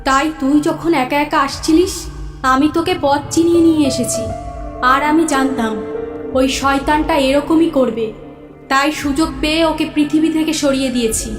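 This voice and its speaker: High, echoing ghost aunt acted by a woman. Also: moderate pace, lightly acted. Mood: mournful, vengeful. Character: loving, vengeful ghost of a dead aunt. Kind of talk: cartoon horror story dialogue. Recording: echoing voice over music.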